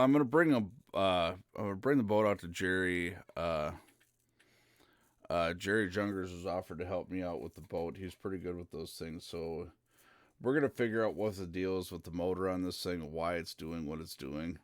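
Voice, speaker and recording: Male streamer, low smooth voice, easygoing and rambling. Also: no echo. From central Minnesota. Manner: rambling and easygoing, with frequent "uh" fillers. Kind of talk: casual live-stream chat.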